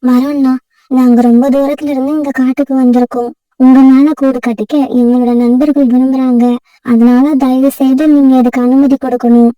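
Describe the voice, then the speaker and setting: A woman voicing a polite, sensible female sparrow who speaks as a gentle peacemaker in a children's cartoon. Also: high voice, flat delivery, normal speed, no room sound, far from the mic, muffled recording, close to an everyday voice. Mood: polite pleading. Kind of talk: cartoon voice acting.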